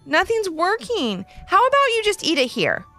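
A woman's voice: very high-pitched, huge pitch swings, normal speed, bright, forceful tone, faint music behind, pitched above the performer's own voice, full character voice. A woman voicing a kind, encouraging child friend in a children's storybook. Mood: apologetic.

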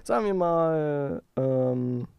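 A man giving a casual software tutorial, informally thinking aloud as he goes. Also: on the high side, animated, drawn-out words, clear, resonant tone, no room sound. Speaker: tutorial host.

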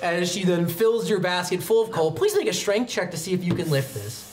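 Young man narrating with lively, swooping pitch. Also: a little fast, faint music behind. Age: 20s. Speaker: game master. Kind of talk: improvised tabletop game narration with in-character dialogue. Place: indoor studio set, seated at a desk.

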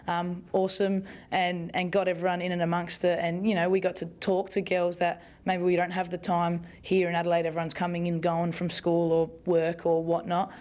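A woman's voice: lowish voice, a little mellow. A young Australian woman at a club press conference, answering questions in a chatty way with many fillers and restarts, speaking into a bunch of press microphones. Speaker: football player at a press conference. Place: club media room in front of a sponsor backdrop. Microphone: bunch of press microphones on the table.

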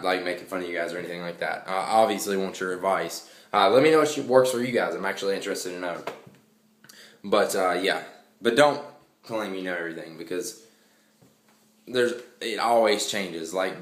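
A man's voice: sits low, moderate pace, reverberant. A young man vlogging from home, rambling casually with lots of fillers and restarts. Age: young adult. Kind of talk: casual vlog monologue. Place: small hallway at home at night.

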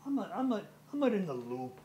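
A man's voice: high voice, big pitch swings, brisk, mellow, echoey room, slight background noise. An actor playing a tired older male mobster who talks in a rambling, defensive way.

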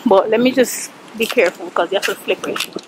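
A woman's voice: medium pitch, fast, background noise. Chatty young woman vlogging a cold hike. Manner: chatty, breathless, exclaiming. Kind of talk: casual vlog chat. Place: outdoors on a muddy hillside trail, then a car, then a bedroom at home. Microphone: handheld camera.

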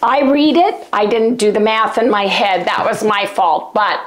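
An older woman with a cold hosting a home cooking vlog, chatting casually to her viewers in a hoarse, throat-clearing voice. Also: medium pitch, measured pace, poor audio. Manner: chatty and folksy, addresses viewers as "you guys". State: sick, losing her voice, coughing. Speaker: keto cooking channel host. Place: home kitchen, indoors. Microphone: lapel mic.

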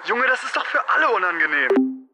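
A man's voice: high voice, big pitch swings, fairly quick, noisy background. A young man playing an uneasy, reproachful teenage boy leaving a hesitant, slangy voice message in an audio drama.

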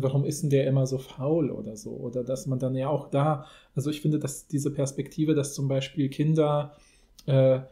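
A man's voice: mid-range voice, dark tone. A man hosting a casual analytical podcast discussion, thinking aloud in long, winding sentences. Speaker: podcast host.

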